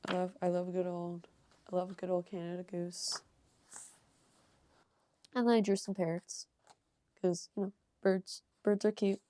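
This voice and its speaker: Young woman, slightly hoarse from a cold, low-ish mellow voice, casual rambling talk. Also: little pitch movement, moderate pace, long pauses. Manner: casual and rambling, with frequent ums and soft laughs. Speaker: art channel creator. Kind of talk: casual sketchbook tour voice-over.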